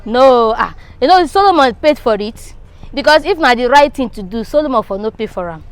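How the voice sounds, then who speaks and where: A woman's voice: on the high side, lively intonation, a little fast, clear, resonant tone. A Nigerian woman arguing her opinion casually and emphatically. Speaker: interview respondent. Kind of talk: casual debate, slipping into Pidgin.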